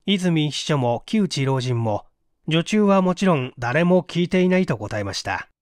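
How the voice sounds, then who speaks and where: Expressive male story narrator, dry close sound. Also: on the high side, measured pace, no echo. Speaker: audiobook narrator and reader. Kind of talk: scripted literary narration of a mystery story.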